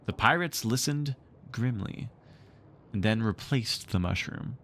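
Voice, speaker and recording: A man narrates a storybook aloud in an expressive style. His pace is slow and deliberate, and his mid-range voice rises and falls with lots of animation. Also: mid-range voice, a little hiss or hum. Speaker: streamer reading a story aloud.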